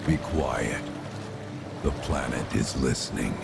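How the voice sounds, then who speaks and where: A man playing an ancient, solemn spirit leader in a video game, speaking in grandiose archaic oratory with a gravelly voice. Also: takes it slowly, very noisy, heavily acted. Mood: grave, foreboding. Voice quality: deep, gravelly. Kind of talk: theatrical video game voice acting.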